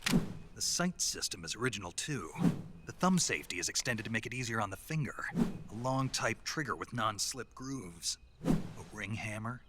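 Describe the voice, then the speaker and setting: A man playing a terse, guarded special-forces soldier in a video game, with a gruff, gravelly growl. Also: lowish voice, lively intonation, normal speed, very noisy, lightly acted. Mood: impressed, absorbed. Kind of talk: dramatic video game voice acting.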